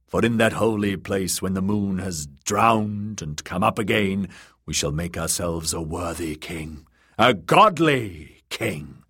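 A man's voice: low-pitched, animated, slow pace, a bit bright, husky, full character voice. A man voicing a bony, fervent priest-prophet, solemn and commanding, preaching in a fantasy audiobook. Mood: fervent exhortation. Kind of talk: dramatised audiobook character voice.

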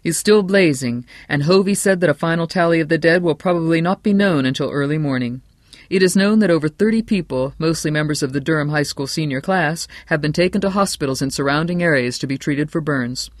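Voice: mid-range voice, brisk, dry recording, studio quality, natural voice. Audiobook role: narrator as male news announcer, formal, projected, grave and urgent bulletin delivery.

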